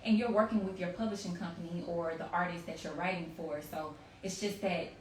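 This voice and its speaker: A woman in her late thirties with a fairly low voice talks casually and candidly as an interview guest. She sounds set back from the mic in an echoey room, with a little noise in the recording. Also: steady tone, brisk, a little mellow. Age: late 30s. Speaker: interview guest, company CEO. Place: remote live video chat in a room. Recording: roomy, distant mic, faint noise.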